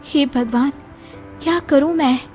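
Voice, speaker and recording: A woman's voice: sits high, unhurried, darkish tone, faint music behind, voice pushed up. A woman voicing a sweet, determined young daughter-in-law, earnest and exclaiming, in a cartoon moral story. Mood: worried, determined.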